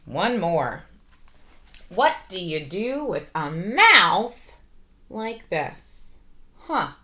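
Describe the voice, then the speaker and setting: A woman in her forties reads a children's picture book aloud in a bright, forward voice. Her pitch moves up and down in lively swings, and she takes her time over the words. She speaks like an elementary teacher, stressing key words for young listeners. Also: very slow. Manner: expressive, emphatic, question-asking, child-directed delivery. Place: small furnished room at home, indoors. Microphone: webcam or laptop microphone at arm's length.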